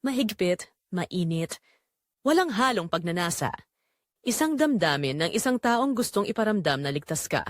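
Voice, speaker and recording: Expressive woman narrating a dramatic story. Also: lowish voice, moderate pace, no room sound, clean studio sound. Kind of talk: scripted first-person dramatic story narration.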